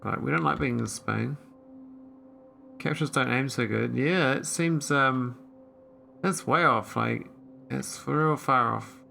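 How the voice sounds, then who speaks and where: A man's voice: mid-range voice, slow, creaky, long pauses, pushed and bright, a little hiss or hum. A man casually commentating his own gameplay, thinking aloud and rambling with fillers into a desk microphone. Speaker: gameplay streamer. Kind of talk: casual let's-play commentary.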